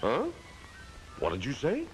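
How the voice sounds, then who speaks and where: A man voicing a gruff, bossy cartoon policeman who guards the mind, in a 1940s film. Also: medium pitch, wildly swooping pitch, a little slow, low-quality recording. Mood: bossy, angry. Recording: old film soundtrack under loud music.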